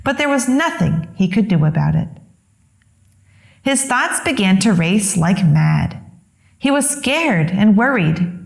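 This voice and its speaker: A woman reading a children's story aloud as expressive narration, with deliberate, dramatic phrasing. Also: a little high, vocal fry, echoey room. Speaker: children's story reader, host.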